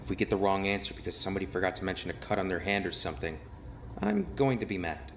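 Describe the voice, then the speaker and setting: An actor playing an uneasy, blunt young man investigating a murder in an animated mystery game, hesitant and easily agitated. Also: sits low, fast talker, noisy background. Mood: focused, blunt.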